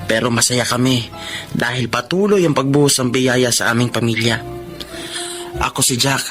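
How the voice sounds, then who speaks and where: A man's voice: medium pitch, normal speed, fairly bright tone, faint music behind, natural voice. A man playing a hopeful, earnest young working husband in a Filipino radio drama. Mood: content narration.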